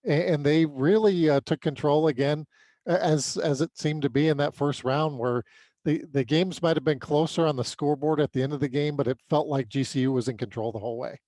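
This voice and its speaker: American man recapping sports, halting delivery. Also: a little high, warm tone, dry recording. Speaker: sports podcast co-host. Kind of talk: unscripted sports recap commentary.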